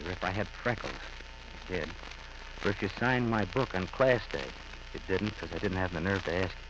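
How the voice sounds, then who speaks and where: An actor playing a despairing, guilt-ridden killer, halting and confessional, in 1940s radio drama style. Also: male voice, on the low side, close to an everyday voice. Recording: muffled, noisy vintage radio recording.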